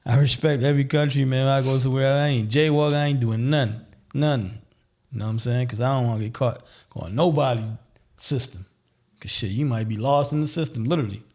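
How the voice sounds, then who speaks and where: A man's voice: medium pitch, a little fast, a little mellow. A man hosting a podcast in casual, slangy chat, often repeating his phrases and checking that he is understood. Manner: slangy, repetitive, leaning on "you know what I'm saying". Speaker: podcast host.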